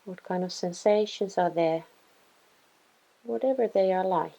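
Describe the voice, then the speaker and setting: A woman guiding a body scan meditation in a gentle, soothing, unhurried way, leaving pauses between phrases. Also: fairly low pitch, gravelly, dull audio. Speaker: meditation guide.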